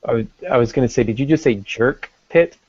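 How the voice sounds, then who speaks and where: A man's voice: mid-range voice, a little fast, darkish tone, no room sound. A man hosting a podcast in an informal, conversational way. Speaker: podcast host. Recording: dull lo-fi audio.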